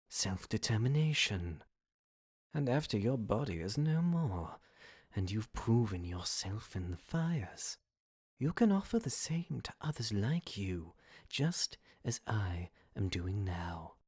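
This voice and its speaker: Suave scheming demon, played by a man, husky and unhurried, with swooping delivery for a podcast drama. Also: on the low side, darkish tone, no echo, full character voice. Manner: slow, insinuating, formal. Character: suave tempting male demon, courteous and scheming. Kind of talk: podcast audio drama acting. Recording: dry amateur home recording.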